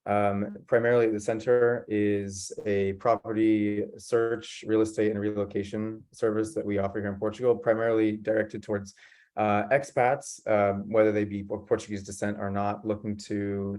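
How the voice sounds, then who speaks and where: Low, flat-voiced man presenting. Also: normal speed. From San Diego, California. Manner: earnest, steady, with frequent ums and uhs. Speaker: guest presenter. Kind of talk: conference talk over video call.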